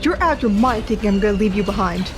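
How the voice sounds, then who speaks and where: An actress playing a brisk, headstrong, loyal agent in a sci-fi audio drama. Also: female voice, medium pitch, music in the background, lightly acted. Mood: defiant.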